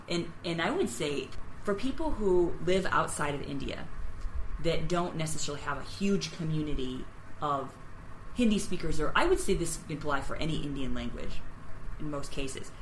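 A woman hosts a conversational interview from a reverberant room with a little background noise. She speaks emphatically, stacking short phrases to make each point. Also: low voice. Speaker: interview host.

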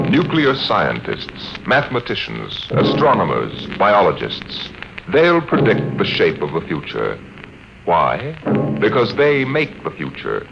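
Male vintage radio narrator, lowish and dramatically varied, portentous phrasing, loud music behind him. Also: gravelly, low-quality recording.

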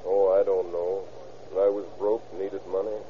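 Cocky car thief, laconic tough talk, low-pitched, vintage radio drama, thin phone-like recording. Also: male voice, little pitch movement, measured pace, a little hiss or hum. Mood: sly, casual. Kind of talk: 1930s-40s radio crime drama acting. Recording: tinny, band-limited old radio broadcast.

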